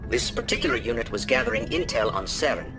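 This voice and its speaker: An actor playing a formal alien councilor delivering a briefing in a video game, over music. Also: male voice, medium pitch, expressive, quick pace, close to an everyday voice.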